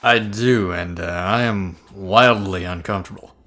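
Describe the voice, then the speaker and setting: A man's voice: sits low, a little slow, fairly bright tone. A man playing an earnest, dorky, polite ghost in an online table read of a sitcom script. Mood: polite, chipper. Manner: eager, rambling, polite. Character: chipper, earnest, dorky male ghost who loves movies. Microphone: video call.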